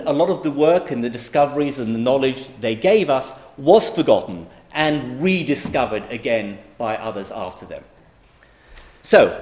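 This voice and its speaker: A man's voice: mid-range voice, a little mellow, slight background noise. A middle-aged man from Iraq giving a conversational public lecture, with frequent fillers and restarts. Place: lecture hall. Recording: echoey lecture hall.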